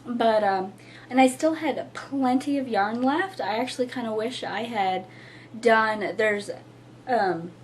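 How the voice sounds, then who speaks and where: A hoarse young woman with a husky voice, chatting casually to camera as the host of a knitting show, with lots of fillers and little laughs. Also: on the high side, takes it slowly, slight background noise. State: hoarse, losing her voice.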